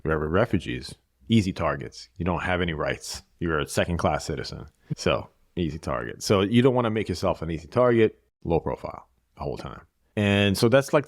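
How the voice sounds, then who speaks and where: A man, a podcast guest speaking in a measured, reflective way and repeating his key phrases. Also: low-pitched, no echo, clean studio sound. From Bosnia. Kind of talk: reflective interview conversation.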